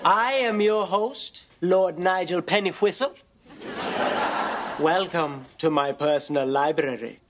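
An actor playing a pompous male lord who hosts a mystery game, with a grand, drawn-out delivery. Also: on the high side, noisy background. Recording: sitcom audio with studio audience laughter.

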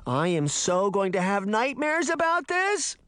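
Man doing a whiny, high-pitched little girl. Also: sing-song, measured pace, a bit bright, no room sound, full character voice. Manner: whining, crying out. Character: whiny, dramatic, pleading little sister.

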